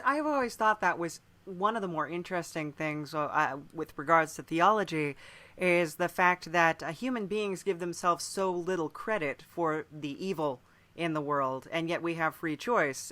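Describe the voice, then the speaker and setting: Bright-voiced man musing in conversation. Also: on the high side. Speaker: podcast interviewer. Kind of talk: casual interview chat.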